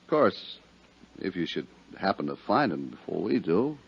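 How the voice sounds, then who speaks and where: An American actor playing a plain-spoken, puzzled but sympathetic harbor police inspector in a 1950s radio drama. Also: male voice, sits low, sing-song, normal speed, vocal fry, husky, full character voice. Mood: resigned, concerned. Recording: muffled 1950s radio recording.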